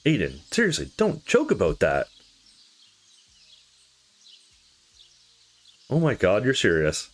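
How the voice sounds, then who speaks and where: A supportive young woman from a visual novel, as a male player reads her, speaks with earnest sympathy and concern. The delivery rises and falls dramatically, in a fairly low register. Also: wildly swooping pitch, fairly quick. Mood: alarmed concern. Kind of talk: visual novel character read aloud.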